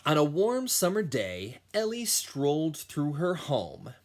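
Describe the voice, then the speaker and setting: A man reading a picture book aloud to young children as their music teacher, expressively and with dramatic pauses. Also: fairly high pitch, huge pitch swings, darkish tone.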